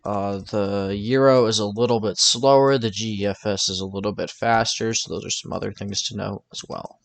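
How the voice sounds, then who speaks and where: A man's voice: fairly low pitch, fairly even intonation, moderate pace, vocal fry. A man talking informally through a weather forecast over maps, thinking aloud in a casual, tentative way. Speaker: weather forecaster presenting his own video.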